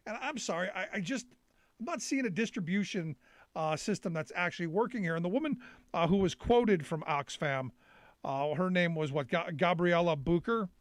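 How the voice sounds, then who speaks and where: A man's voice: high-pitched, dry recording. A man hosting a live talk show, questioning his guest in an indignant, stammering way.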